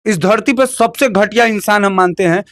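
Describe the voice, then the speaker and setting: High-pitched combative man with a Bhojpuri accent. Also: moderate pace, fairly bright tone. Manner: combative, emphatic, repeats phrases, fires rhetorical questions. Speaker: interviewee. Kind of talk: heated opinionated interview talk.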